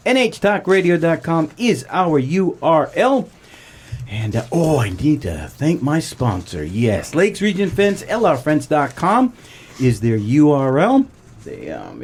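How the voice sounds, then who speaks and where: Older husky radio host, swooping pitch. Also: male voice, a little mellow. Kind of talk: talk radio hosting and live sponsor reads.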